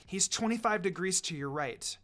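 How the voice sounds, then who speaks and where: A man's voice: high-pitched, lively intonation, normal speed, fairly bright tone. A man voicing a young, dutiful ninja girl in a story reading, polite and determined. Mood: focused, dutiful.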